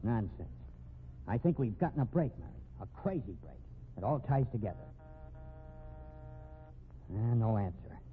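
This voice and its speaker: 1950s radio detective type: determined, clipped, animated delivery, narrow old-radio sound. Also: male voice, lowish voice, normal speed, long pauses. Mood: urgent. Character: brisk, determined male amateur sleuth. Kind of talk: 1950s radio drama acting. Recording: band-limited old radio recording, faint noise.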